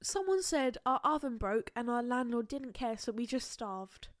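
A woman's voice: on the high side, lively intonation, normal speed. A young woman, co-hosting a podcast and reading out viewer stories in blunt, casual chat. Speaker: podcast co-host.

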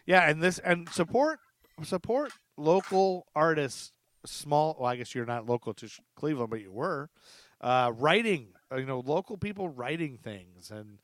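A man's voice: fairly high pitch, animated, moderate pace, a bit bright, no echo. A middle-aged man hosting a podcast in a casual, chummy, rambling way as he chats with guests. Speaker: podcast host.

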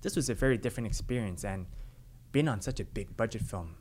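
A young man with a low voice gives relaxed, earnest answers in an interview, with plenty of "um" and "like". He grew up speaking Cantonese and English. Faint background noise sits under the recording. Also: fast. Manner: earnest, relaxed storytelling with fillers. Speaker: actor being interviewed.

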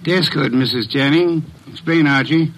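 An imperious detective from a classic radio mystery. His voice sits a little high for a man, with a heavy breath behind it. He speaks in measured, pedantic, eloquent phrases and gives orders as if nobody could refuse. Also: fairly bright tone, poor audio. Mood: deliberate, probing. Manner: formal, pompous, precise diction.

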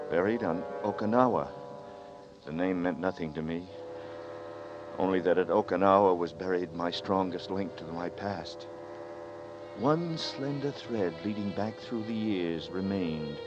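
A deep-voiced, brooding narrator. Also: male voice, measured pace, long pauses. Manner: tense, hesitant, brooding. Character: troubled man narrating his ordeal. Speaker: narrator and lead. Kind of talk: 1940s radio drama narration. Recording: lo-fi 1940s radio broadcast with music.

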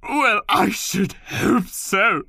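A woman's voice: medium pitch, very slow, creaky, bright, forceful tone, husky, no room sound, studio quality, full character voice. A woman playing a sassy, mock-offended companion who bickers snippily in a fantasy audio comedy. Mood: indignant.

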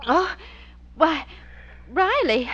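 An actress playing an exasperated but fond American housewife in a 1940s radio comedy, expressive and theatrical. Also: female voice, high-pitched, drawn-out words, muffled recording, exaggerated voice. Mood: flustered, pleased. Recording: thin vintage radio recording.

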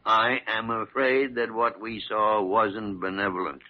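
A man's voice: lowish voice, level tone, a little slow, over loud music, lightly acted. Man playing a crusty old doctor on vintage radio. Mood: grave. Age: older. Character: crusty, nosy senior doctor, gruff but fond. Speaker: actor in a radio medical drama. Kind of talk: old-time radio drama acting. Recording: dull old radio broadcast recording.

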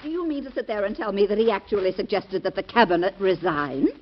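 An actress playing an indignant, haughty society wife in a 1940s radio drama, on a dull old broadcast recording. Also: female voice, medium pitch, very animated, quick pace, a little hiss or hum, close to an everyday voice. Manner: haughty, scandalized.

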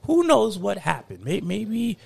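A man in a casual discussion who talks in a measured, reflective way, full of "you know" fillers. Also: high voice, wildly swooping pitch, creaky, a little mellow, slightly rough.

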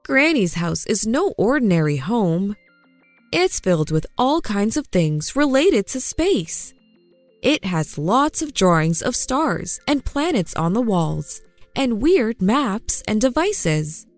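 A woman reading a children's story aloud in the first person, in an expressive, unhurried way. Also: mid-range voice, no room sound, soft background music.

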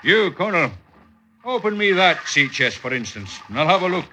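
An actor playing a brusque, commanding customs surveyor with archaic, blustery speech in an old-time radio drama. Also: male voice, on the high side, sing-song, normal speed, sharp tone, full character voice. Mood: suspicious, commanding. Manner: blustery, archaic, questioning with gruff "eh". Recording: old radio recording with noise.

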